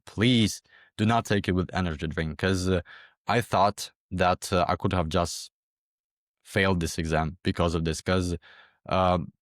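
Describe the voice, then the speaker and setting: Low-voiced young man from Ukraine. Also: dry recording, studio quality. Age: young adult. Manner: hesitant, repeats words, many fillers. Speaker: interview guest, former exam candidate. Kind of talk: casual interview talk.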